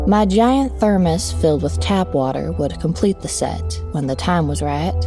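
A woman narrating a scripted first-person story in a measured, wry storytelling manner. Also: low voice, dark tone.

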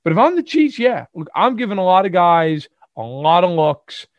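Man, podcast co-host, higher voice, emphatic, opinionated, dry sound. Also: sing-song, moderate pace, no room sound, muffled recording. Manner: emphatic, opinionated, lots of fillers and restarts. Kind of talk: casual sports talk. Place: lives in Chicago.

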